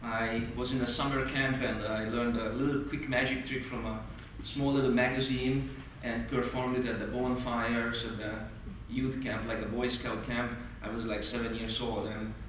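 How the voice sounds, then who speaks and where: A man with a Hungarian accent teaching a stage hypnosis course to a group, earnest and eager, with non-native phrasing and lively emphasis. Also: mid-range voice, moderate pace, few pauses, a little hiss or hum. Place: large hotel meeting room. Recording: echoey hall recorded from far off.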